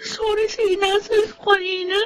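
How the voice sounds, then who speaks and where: An actress playing a distraught, devoted young daughter in a Tagalog radio drama, emotional and pleading. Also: female voice, very high-pitched, level tone, moderate pace, a bit bright. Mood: apologetic, tearful.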